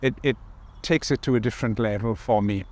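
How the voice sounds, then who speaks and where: A man's voice: medium pitch, fairly quick, a little mellow, a little hiss or hum. Earnest man from Wales on singing. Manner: earnest, careful, with repeated words. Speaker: choir member. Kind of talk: interview and leading a pronunciation drill.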